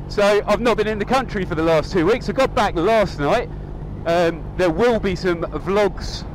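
A young man with a fairly high voice talks to the camera from his motorbike. He rambles cheerfully, with plenty of ums, into a helmet mic. Faint road and engine noise sits under his voice. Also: rough recording. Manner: chatty, rambling, upbeat with filler ums. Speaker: motovlogger. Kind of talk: casual motorcycle vlog. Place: outdoors on a moving motorcycle.

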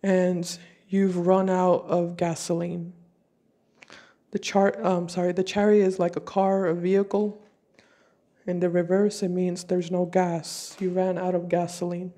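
Mellow-voiced woman reading tarot, with a cold. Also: on the low side, flat delivery. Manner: slow, deliberate, intuitive. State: has a cold. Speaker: tarot reader. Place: home table with tarot cards.

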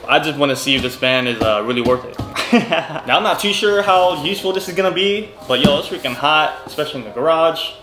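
A young man casually reviewing tools in his home garage, excitable and slangy, talking straight to a desk camera. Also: a little high, fairly quick. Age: young adult. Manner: excitable, slangy, laughs often. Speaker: car and tool vlogger. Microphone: camera on desk, seated facing it. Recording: echoey garage with background music.

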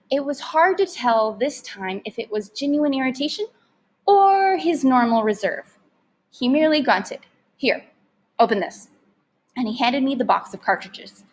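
A woman narrating a story aloud in an expressive style with deliberate, emphatic phrasing. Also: sits high.

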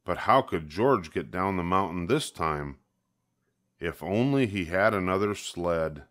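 Older guy reading a kids picture book, really low voice, takes his time, expressive. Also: measured pace. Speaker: storybook reader. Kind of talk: scripted narration of a children's picture book.

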